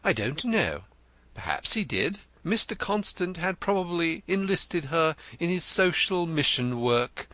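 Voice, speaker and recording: A vain, effusive poet in a storybook reading, as a male narrator puts him on, gushing in a high voice that leaps up and down. He is florid and stammers when pressed, with a bright tone. The sound is dry and close. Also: dry recording, full character voice. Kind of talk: audiobook character reading.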